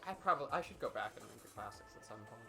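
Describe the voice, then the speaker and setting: A man's voice: mid-range voice, expressive, racing, sharp tone. A man co-hosting a livestream with casual comedic banter and a wry, campy way of joking. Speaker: livestream co-host. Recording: background music behind the voice. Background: music.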